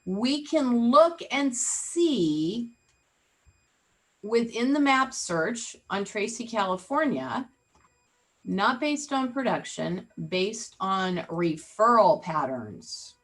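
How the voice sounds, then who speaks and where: A woman's voice: a little high, big pitch swings, slow, rough recording. A woman leading an interactive online real estate training, chatty and teasing, often asking the group questions over a video call.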